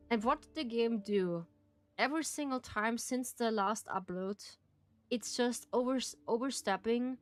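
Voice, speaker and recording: Slow-speaking German-accented woman streamer. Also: medium pitch, clear, resonant tone. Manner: slow, careful phrasing with frequent laughs and restarts. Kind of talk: casual livestream, reading a game aloud.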